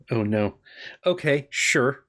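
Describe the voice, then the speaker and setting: A man's voice: medium pitch, animated, drawn-out words, a bit bright. A man acting as game master, improvising the game in casual banter with a playful, wry manner and often repeating himself.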